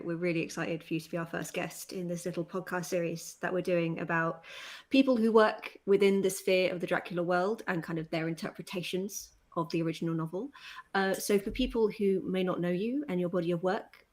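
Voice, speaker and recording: A woman's voice: on the low side, fairly quick. A woman co-hosting a casual interview, speaking in a polite and informal way. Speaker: co-host.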